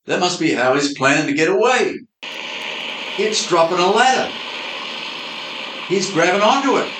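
Casting: a man playing a goofy, bumbling detective, lively eager voice, amateur radio play, roomy room with music under. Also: high-pitched, normal speed, heavy background noise. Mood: excited. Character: adult male supernatural detective, bumbling and easily impressed.

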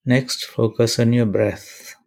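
A man leading a guided meditation and prayer in measured, deliberate short phrases with pauses between them. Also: mid-range voice, fairly even intonation, vocal fry, darkish tone.

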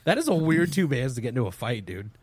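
A man's voice: medium pitch, big pitch swings, fast talker, no echo. A man co-hosting a podcast with blunt, slangy, joking banter. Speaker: podcast co-host.

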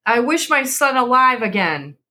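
A woman's voice: on the high side, big pitch swings, measured pace, sharp tone, pitched above the performer's own voice, exaggerated voice. Shaken, fearful old husband, voiced by a woman. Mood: faltering. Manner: unsteady, faltering, aghast. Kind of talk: dramatic storybook reading.

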